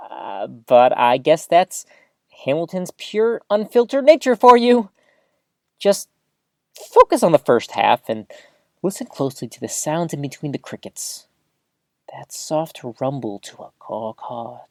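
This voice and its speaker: A woman's voice: low voice, no room sound, studio quality. A woman playing an eager, awkward small-town radio host in an audio drama, chatty and hesitant. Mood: sheepish, flustered.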